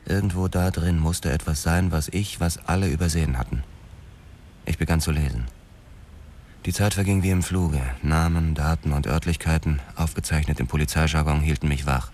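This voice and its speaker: An actor playing a hardboiled, brooding police detective who narrates a noir radio drama tersely and matter-of-factly. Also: male voice, a bass voice, fairly even intonation, normal speed, darkish tone. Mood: brooding, controlled.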